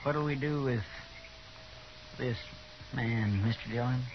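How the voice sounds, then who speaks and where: An actor playing an eager, deferential and simple sidekick in a 1950s radio western, folksy and full of questions. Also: male voice, mid-range voice, measured pace, close to an everyday voice. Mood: dutiful. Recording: muffled, noisy old radio recording.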